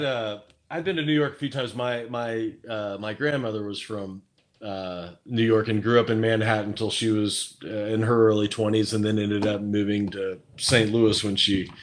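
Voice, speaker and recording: A man's voice: fairly low pitch, steady tone, moderate pace, slight background noise. A man from Missouri with a Midwestern accent, talking as a guest in a casual interview, rambling and hesitant with many fillers and restarts. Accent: Midwestern American. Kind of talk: casual video chat interview.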